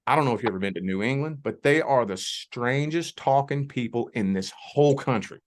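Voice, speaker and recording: A man's voice: mid-range voice, animated. A man from the Indiana and Kentucky border with a Southern accent, a guest giving a folksy, reassuring tarot reading on a video call. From Southern Indiana near Louisville, Kentucky. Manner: folksy, reassuring, drawn-out emphasis. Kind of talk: spiritual conversation and tarot reading.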